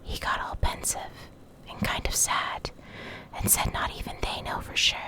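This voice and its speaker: A woman doing an ASMR reading in a soft, breathy whisper, deliberate and pausing between short phrases. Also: poor audio. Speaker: ASMR creator reading game lore.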